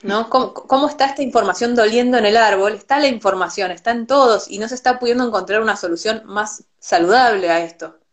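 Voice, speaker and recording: A woman's voice: fairly high pitch, fast talker. A woman from Argentina with an Argentine accent, a guest explaining ideas in a reflective, conversational way and often restarting her words. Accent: Argentine Spanish. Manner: thoughtful, repeats words when restarting. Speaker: Ayurveda teacher, guest. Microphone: video call.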